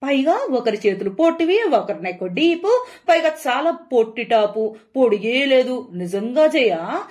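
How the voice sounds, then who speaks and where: A woman's voice: sits high, sing-song, a little slow, a little mellow. A woman voicing a judgmental, gossiping middle-aged auntie in a cartoon story, indignant and scandalized.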